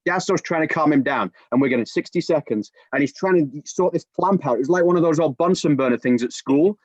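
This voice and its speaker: A man in his forties, a soccer commentator, telling an animated story with many restarts on a video-call podcast. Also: fairly high pitch, a little fast, dry recording. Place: home room with bookshelves. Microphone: video call webcam. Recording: dull, dry video-call audio.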